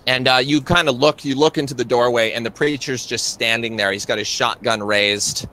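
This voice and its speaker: A man narrating a tabletop roleplaying game as game master, in a casual, halting, improvised way. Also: mid-range voice, fairly even intonation, sharp tone. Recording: clearly noisy live stream.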